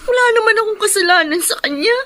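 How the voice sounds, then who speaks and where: An actress playing a young, hurt but dutiful daughter and caring older sister in a Filipino radio drama, gentle and plaintive. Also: female voice, very high-pitched, expressive, fast. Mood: wistful, sad.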